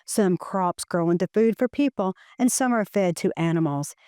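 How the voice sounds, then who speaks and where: Woman, low-pitched mellow voice, scripted children's science read-aloud, measured phrasing, dry close sound. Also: smooth, dry recording. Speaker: reader of a children's educational book.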